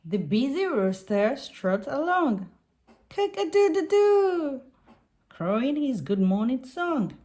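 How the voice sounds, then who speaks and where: A woman reading a picture book aloud to young children in an expressive way, putting on animal sounds. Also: sits high, takes it slowly.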